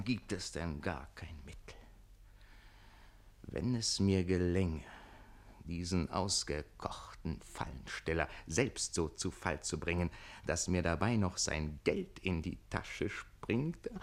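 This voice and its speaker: An actor in a classic German radio play playing a witty, scheming young bridegroom in service, theatrical, ironic and eloquent. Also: male voice, on the low side. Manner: theatrical, ironic, eloquent, shifting into conspiratorial muttering.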